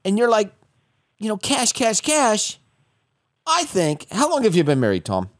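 A man's voice: high-pitched, unhurried. A man in his fifties co-hosting a call-in advice show, jumping in and acting out little scenes. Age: 50s. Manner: lively, jumps in, acts out little scenes. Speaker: radio show co-host. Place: studio.

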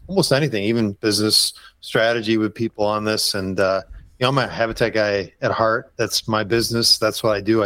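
A man's voice: lowish voice, a bit bright, no echo. A man guesting on a podcast as a habitat consultant, talking in an easygoing, rambling way. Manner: easygoing, rambling, lots of "you know". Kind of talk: casual podcast interview.

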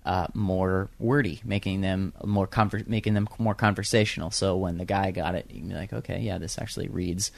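A man's voice: low voice, steady tone, brisk, gravelly, few pauses, no room sound. A young man with a young-sounding voice, chatting casually as an interview guest about radio production work, full of fillers and restarts. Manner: rambling with many fillers and restarts.

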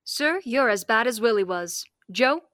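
A woman's voice: on the high side, animated, measured pace, a bit bright, no echo, clean studio sound. A woman acting a firm, caring space lieutenant in an old-time radio drama. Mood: insistent, concerned.

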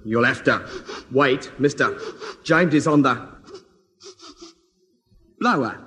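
Shifty office doorman, halting drawn-out talk, old radio comedy, super echoey. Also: medium pitch, sharp tone. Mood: formal, halting. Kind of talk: 1950s radio comedy acting. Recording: reverberant vintage radio recording.

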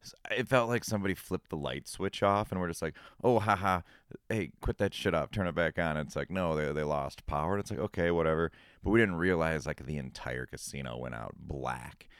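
Man in his thirties, extremely deep voice, smooth tone, relaxed rambling podcast chat. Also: a little fast, no room sound, clean studio sound. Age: 30s. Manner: laid-back, rambling storyteller. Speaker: podcast co-host. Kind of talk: casual chat.

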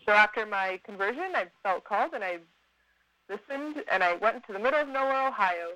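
Guest: bright, chatty woman, thirties, from Miami, dry close phone sound. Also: medium pitch, lively intonation, fairly quick, no room sound, poor audio. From Miami, USA. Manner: upbeat and chatty. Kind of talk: casual interview.

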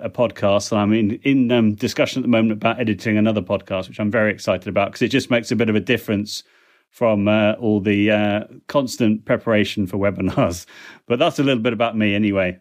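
A middle-aged man speaking in a somewhat low voice as a podcast guest. He rambles in a friendly way, with frequent ums and restarts, and sounds like he's speaking over a remote call from home. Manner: chatty and warm, with frequent "uh" and "um" and small restarts. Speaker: guest, language technology consultant. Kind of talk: relaxed interview answers.

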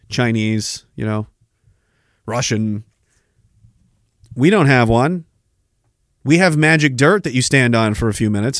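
A man's voice: medium pitch, dry recording, clean studio sound. A Canadian man hosting a podcast, ranting sarcastically with rhetorical questions while reacting to a clip. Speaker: podcast host. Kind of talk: ranting commentary over a played clip.

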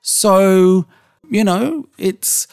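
A man's voice: high voice, extremely slow, creaky, a little mellow, clear, resonant tone. A man with an Australian accent co-hosting a podcast, arguing his points insistently and swearing casually. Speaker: podcast co-host.

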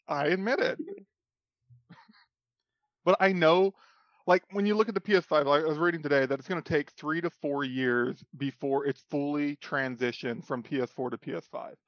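A man's voice: on the high side, steady tone, moderate pace, fairly bright tone, no echo, lo-fi sound. A man co-hosting a podcast in casual chat, teasing the others and laughing often. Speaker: podcast co-host.

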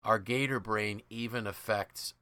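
A man's voice: lowish voice, steady tone, measured pace, pushed and bright, no room sound. A man hosting a podcast, explaining ideas from a book in a conversational, emphatic way. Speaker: podcast host.

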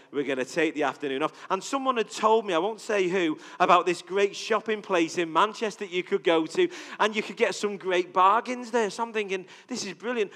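A man's voice: high-pitched, fairly bright tone. A middle-aged man with a Liverpool accent preaching in a chatty way, asking the crowd questions. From England. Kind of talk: conversational sermon. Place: church hall with audience. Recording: reverberant hall.

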